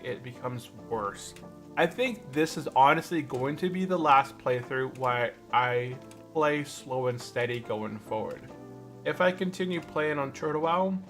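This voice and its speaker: A man's voice: mid-range voice, a bit bright. Casual man streaming over music. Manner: rambling, thinking aloud. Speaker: livestream host, gamer. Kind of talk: casual livestream chat while gaming.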